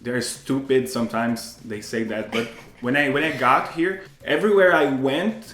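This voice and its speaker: A man with a Brazilian accent, native in Portuguese, answers casually as an interview guest, repeating words for emphasis. His voice is mid-pitched, and the recording is roomy, with music behind him. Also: normal speed, fairly bright tone. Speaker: English teacher, interview guest.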